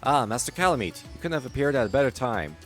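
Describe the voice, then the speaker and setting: A streamer reading an earnest scout soldier. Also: male voice, medium pitch, fast talker, faint music behind, voice pushed up, close to an everyday voice. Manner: reading game dialogue aloud, lively.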